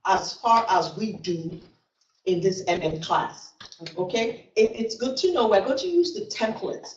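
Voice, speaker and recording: A woman's voice: medium pitch, animated, a little slow, slight background noise. Nigerian woman teaching from across a room. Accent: Nigerian English. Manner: chatty, frequent "okay" check-ins. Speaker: instructor. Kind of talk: classroom lecture. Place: classroom. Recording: roomy, distant, dull classroom recording.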